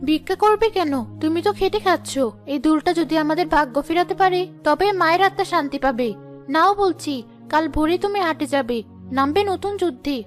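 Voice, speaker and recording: A woman's voice: very high-pitched, expressive, normal speed, faint music behind. A woman playing a devoted, determined young wife in a cartoon drama, poor and encouraging. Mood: resolute. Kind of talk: Bengali cartoon drama voice acting.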